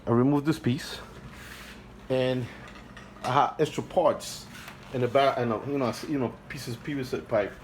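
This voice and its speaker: A man's voice: mid-range voice, fairly even intonation. Guy with an accent talking on his phone at home, keeps restarting, earnest, bit of hum. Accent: non-native English accent. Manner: halting and repetitive, restarts phrases, earnest and pleading. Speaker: hobbyist vlogger. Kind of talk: casual home video walkthrough. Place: home, beside an aquarium indoors. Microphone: phone held by the speaker while filming.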